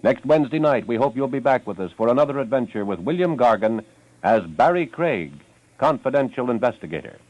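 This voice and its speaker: A dull vintage recording of an American man announcing for a radio network. He reads scripted promos in polished fifties style. The sound is close and dry, with a medium-pitched voice. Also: sing-song, brisk, darkish tone, no echo.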